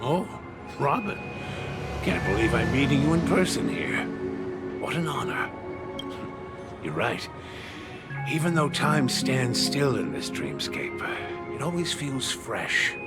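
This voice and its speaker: An actor playing a courteous, wistful old war survivor in a dramatic video game, speaking in a reflective, measured way. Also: male voice, mid-range voice, very animated. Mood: admiring, courteous. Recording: loud music under the voice.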